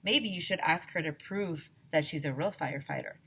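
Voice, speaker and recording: A woman's voice: low voice, moderate pace, creaky, a bit bright, breathy edge, exaggerated voice. A woman reading a puzzled, mild-mannered male school principal from a storybook, musing hesitantly.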